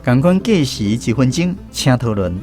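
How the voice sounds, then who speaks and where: A man's voice: medium pitch, very animated, moderate pace, music in the background. A man with a Taiwanese accent hosting a language lesson segment on the radio as quizmaster. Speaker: radio show host and quizmaster.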